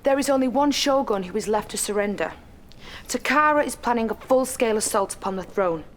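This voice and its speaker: A woman's voice: on the high side, animated, brisk, lightly acted. Courteous woman military briefing officer, acted, background noise. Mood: approving, businesslike. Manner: crisp, deferential, businesslike. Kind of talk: game mission briefing acting.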